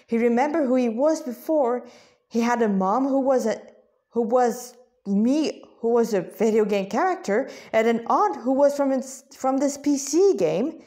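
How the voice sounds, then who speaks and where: A woman's voice: a little high, darkish tone. A woman reading a story aloud with asides, often stumbling over words and correcting herself.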